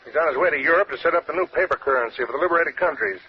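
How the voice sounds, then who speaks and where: Muffled old radio, man playing a worried american police inspector, talks fast, voice on the high side. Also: slight background noise, natural voice. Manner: urgent, fretful. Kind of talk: 1940s radio acting.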